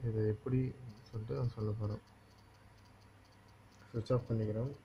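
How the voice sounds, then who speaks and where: A man's voice: lowish voice, little pitch movement, normal speed, gravelly. A man giving a casual, hands-on repair tutorial, instructing step by step and often checking that the listener follows. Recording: dull lo-fi audio with faint noise.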